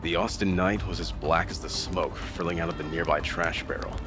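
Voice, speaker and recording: A man plays a battered fighter telling his story in an audio drama. His voice is very low, grim and intense, with loud music playing behind it. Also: steady tone, brisk, close to an everyday voice. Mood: grim, weary. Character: battered, gritty male fighter narrating his own story. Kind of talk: dramatic first-person scripted narration.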